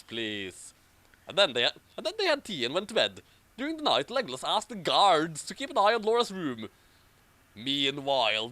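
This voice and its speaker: A man's voice: fairly high pitch, bright, forceful tone. A man reading an amateur story aloud and adding mocking commentary. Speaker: reader and commentator.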